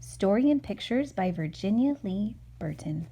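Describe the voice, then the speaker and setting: A woman's voice: mid-range voice, animated, normal speed, warm tone, husky. A woman reading a picture book aloud to her young child, with casual asides as she goes.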